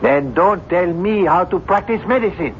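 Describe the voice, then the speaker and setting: Brief: authoritative, skeptical physician, emphatic and highly animated, muffled vintage radio play. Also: male voice, normal speed. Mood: dismissive, irritated. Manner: clipped, emphatic, theatrical questioning. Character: brusque, skeptical, authoritative male doctor. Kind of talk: 1970s radio drama acting. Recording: muffled, noisy 1970s radio broadcast.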